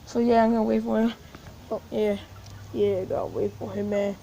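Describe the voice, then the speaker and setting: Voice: mid-range voice, level tone, normal speed, vocal fry, noisy background. A puppeteer improvising a homemade puppet show in an informal, repetitive way, playing several characters. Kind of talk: improvised amateur puppet-show play-acting.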